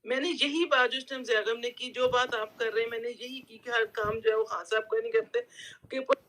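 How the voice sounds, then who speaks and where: A woman's voice: on the high side, fairly even intonation, fairly quick, a bit bright. A woman with a Pakistani accent in a live group discussion, insistently airing her complaint in casual, repetitive speech. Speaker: political discussion participant.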